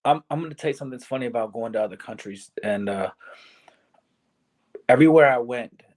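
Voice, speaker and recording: A man in his forties from Virginia talks casually on a podcast. He tells stories from his travels at a steady, middle pitch and drops into quick quoted lines from the people he met. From Virginia, USA. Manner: storytelling, animated, acts out quotes. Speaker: podcast guest. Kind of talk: casual conversation.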